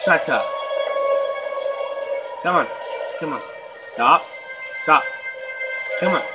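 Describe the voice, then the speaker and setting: A young man hosting a let's play, chatty and excitable, a little hoarse from being sick as he talks to his game. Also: a little high, drawn-out words, low-quality recording. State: slightly hoarse from illness. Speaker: gaming channel host. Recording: loud game music behind.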